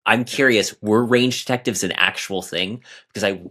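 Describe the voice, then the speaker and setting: A man's voice: fairly low pitch, moderate pace, pushed and bright. A man in a casual podcast discussion, speaking in an enthusiastic, emphatic way. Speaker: podcast panelist.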